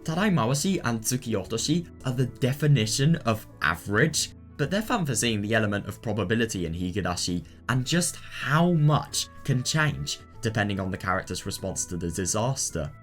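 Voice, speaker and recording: A man narrates an explainer in a fairly low voice that rises and falls in a lively way. He sounds informal and opinionated, recommending as he goes. Soft instrumental music plays behind him. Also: moderate pace, few pauses. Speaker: presenter of a reading guide.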